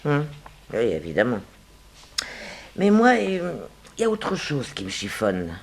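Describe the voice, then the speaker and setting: Sharp-tongued lady's companion, vintage French radio play. Colloquial, mocking, with big melodic swings. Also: sits low, wildly swooping pitch, unhurried, creaky, exaggerated voice. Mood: suspicious, confiding. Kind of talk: 1960s French radio drama acting.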